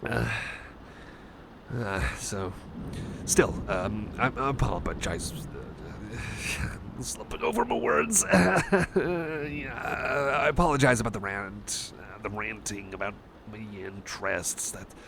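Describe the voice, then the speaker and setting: Man playing an anxious cyborg buddy, gravelly, stammering. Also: on the low side, takes it slowly. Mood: excited, sheepish. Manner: stammering, hesitant, apologetic, rambling. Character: anxious, friendly male cyborg buddy frightened of thunderstorms. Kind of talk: ASMR roleplay, improvised rambling to the listener. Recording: noisy, storm-like ambience behind.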